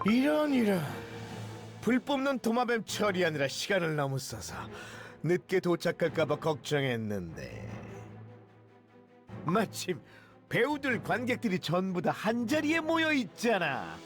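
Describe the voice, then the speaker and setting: A man's voice: high voice, huge pitch swings, a little slow, faint music behind, exaggerated voice. A man voicing a theatrical, villainous trickster cookie in a game cutscene, with showy, mocking, menacing delivery. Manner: showy stage-like delivery, drawling taunts. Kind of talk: scripted video game cutscene acting.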